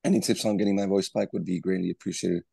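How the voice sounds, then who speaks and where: A hoarse man who has lost his voice, chiming in hesitantly in a poker study group. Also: deep voice, level tone, fast talker, mellow, dry recording.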